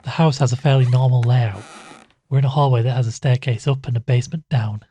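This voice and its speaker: A man's voice: mid-range voice, fairly even intonation, fast, warm tone, slightly rough, close to an everyday voice. A man playing an uneasy, wryly weary investigator in an audio drama, speaking hesitantly. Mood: uneasy, guarded. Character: uneasy male investigator narrating his case, reluctant and wry. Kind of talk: audio drama dialogue and first-person narration.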